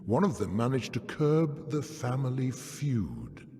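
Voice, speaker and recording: Game herald of doom: commanding, dismissive, slow dramatic delivery with big swings, long reverb. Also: male voice, medium pitch, wildly swooping pitch, mellow. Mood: ominous. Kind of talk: dramatic scripted game cutscene dialogue. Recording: long hall reverb, faint music behind.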